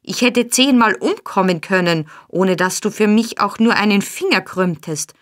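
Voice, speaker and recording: A woman's voice: medium pitch, normal speed, lightly acted. A woman doing a surly, blustering burglar. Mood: angry. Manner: blustering, accusing. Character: brutal, surly male burglar, angry and demanding. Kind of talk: audiobook character voice.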